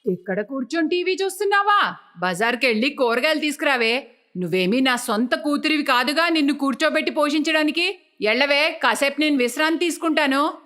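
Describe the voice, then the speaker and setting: A woman's voice: high-pitched, animated, a little fast, a bit bright. A woman voicing a scheming, lovestruck middle-aged stepmother in a cartoon story, with a coaxing, insistent manner. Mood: bossy, scolding.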